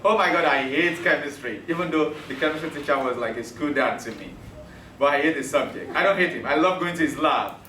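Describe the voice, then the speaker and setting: A man's voice: a little high, brisk, bright, forceful tone, distant mic, a little hiss or hum. A man whose first language is Yoruba, teaching a classroom math lesson with jokes, often checking that his students follow. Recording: roomy classroom recording from afar.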